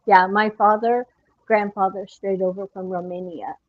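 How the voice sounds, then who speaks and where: A woman's voice: mid-range voice, steady tone, normal speed, phone-quality sound. A woman guest calling into a live stream, telling a story animatedly and laughing as she goes. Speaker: guest caller. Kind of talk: casual storytelling.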